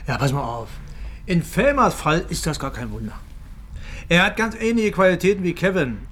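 An actor playing a down-to-earth police detective in a naturalistic crime drama, talking in a colloquial, informal way. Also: male voice, high-pitched, big pitch swings, normal speed, a bit bright, heavily acted. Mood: matter-of-fact, explaining.

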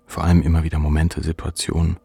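A numb, ashamed young man in a literary radio play. His voice is very low and gravelly, and he speaks in a bleak, introspective and measured way, as if turned inward on his own despair. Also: monotone, mellow, heavily acted. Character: despairing, ashamed, depressed man of twenty-four, numb narrator. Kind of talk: literary radio drama inner monologue.

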